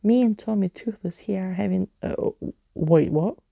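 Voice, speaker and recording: Woman as a brash, mellow-voiced ghost girl. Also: medium pitch, moderate pace, vocal fry, dry recording. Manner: brash, teasing. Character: mischievous, bossy ghost girl.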